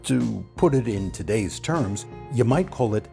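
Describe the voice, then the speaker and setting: A man hosting and narrating a documentary from a script, with deliberate, emphatic phrasing and a dramatic delivery. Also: medium pitch, expressive, gravelly, mellow, faint music behind.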